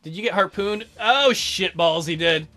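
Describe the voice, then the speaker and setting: Bright-voiced joking gaming host. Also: male voice, sits high, normal speed, soft background music. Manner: joking, crude, offhand. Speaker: let's play host. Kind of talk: casual gameplay commentary.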